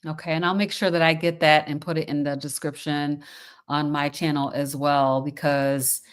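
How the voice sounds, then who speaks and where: A woman's voice: low voice, level tone, moderate pace, clean tone. An older woman hosting an interview show, chatty and enthusiastic, rambling with fillers. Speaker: podcast host. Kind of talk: casual interview.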